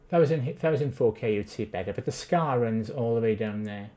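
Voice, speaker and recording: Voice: mid-range voice, quick pace, dark tone. Chatty Welsh streamer with a Swansea accent. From Wales. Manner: chatty, self-deprecating, reads chat aloud. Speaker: live streamer. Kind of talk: casual live-stream chat.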